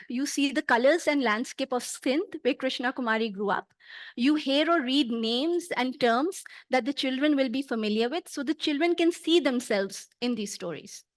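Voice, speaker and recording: A middle-aged Pakistani woman with a high, light voice, speaking English as a second language after Punjabi. She delivers a prepared conference talk in a measured, earnest way, pausing on small fillers. The sound is close and dry, with almost no room around her. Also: dry recording. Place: conference hall.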